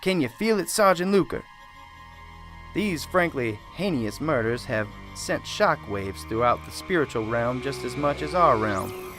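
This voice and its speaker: A man playing a soft-spoken, pious small-town reverend who rambles earnestly, in an amateur radio drama, with faint music behind. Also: mid-range voice, animated, unhurried. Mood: solemn. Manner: gentle, pious and wordy.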